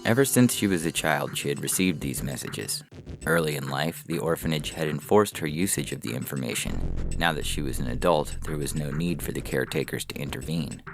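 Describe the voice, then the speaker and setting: A man's voice: rumbling low, expressive, a little fast, vocal fry, music in the background. A man narrating a scripted science fiction story.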